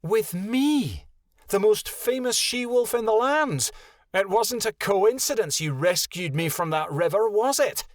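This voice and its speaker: A man voicing a proud, wounded young female wolf in a high, breathy voice. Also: animated, unhurried, no echo, studio quality. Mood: cold.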